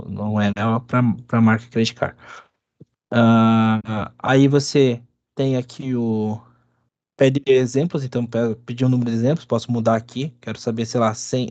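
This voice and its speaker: A man with a bit of a cold, giving a halting, filler-heavy live coding lesson at his computer. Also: mid-range voice, darkish tone, no room sound. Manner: halting, many restarts and fillers. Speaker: instructor. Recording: dry, dull lo-fi sound.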